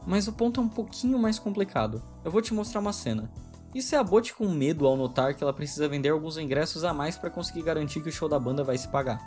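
Male video essay narrator, brisk and wry, soft music bed underneath. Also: fairly high pitch, lively intonation. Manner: brisk, opinionated, wry delivery.

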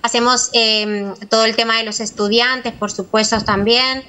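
A Venezuelan woman in her thirties speaks as a lawyer guest over a video call. Her voice is fairly high and very bright, with a forceful edge, as she explains in an interview style. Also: flat delivery, normal speed. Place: small office, indoors.

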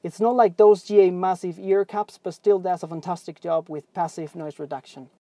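A man's voice: high-pitched, fairly even intonation, normal speed, dark tone, no room sound. Young male pilot-host, upbeat scripted product review, recorded in a cockpit. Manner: upbeat, scripted presenter delivery. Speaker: pilot and aviation channel host. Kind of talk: product review presented to camera. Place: aircraft cockpit on the ground.

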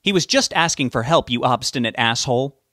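A man's voice: mid-range voice, a little fast, dry recording, studio quality, close to an everyday voice. A man putting on a feisty, bossy wife. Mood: mock outrage. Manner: snappish, scolding. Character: bossy, feisty wife, scolding yet kindly.

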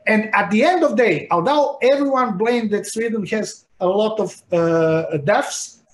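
A middle-aged man whose first language is Serbian, a medical professor giving an interview over a video call from a room at home, talking in a hesitant way with many filled pauses and lively hand gestures. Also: high voice. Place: home room with icons on shelves. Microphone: video call webcam. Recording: echoey room, faint noise.

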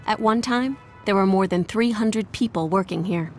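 A woman reading scripted documentary narration in an even, informative way. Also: medium pitch, normal speed, soft background music.

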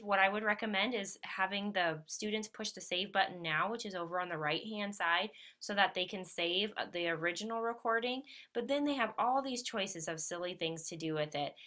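A woman's voice: mid-range voice, bright, forceful tone. A woman presenting a casual instructional app demonstration as a teacher would. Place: voice-over for a tablet screen recording.

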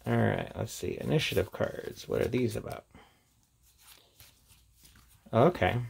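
Low-voiced man with a gravelly creak. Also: expressive, measured pace, darkish tone. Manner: informal, off-the-cuff. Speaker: reviewer, presenter. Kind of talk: casual unboxing and review chat.

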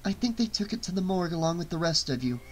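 A man's voice: sits high, lively intonation, quick pace. A man voicing an anxious, bewildered young woman in a horror audiobook, hesitant and urgent.

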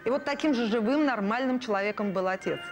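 A woman's voice: fairly high pitch, fast talker. A middle-aged woman giving personal reminiscences in a documentary interview. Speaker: artist, interviewee. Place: indoor room among puppets. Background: music.

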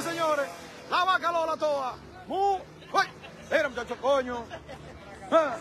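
Dominican guy on his phone doing street commentary, high voice, super excitable, loud crowd and engine noise all around. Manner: excitable, frequent exclamations and laughter. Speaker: livestream street reporter. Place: outdoors on a busy street. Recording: noisy street crowd livestream. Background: crowd and traffic noise.

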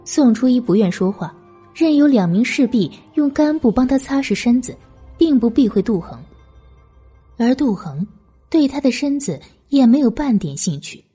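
A woman's voice: on the high side, sing-song, normal speed, warm tone, husky, faint music behind. A woman reading scripted novel narration as an audiobook narrator.

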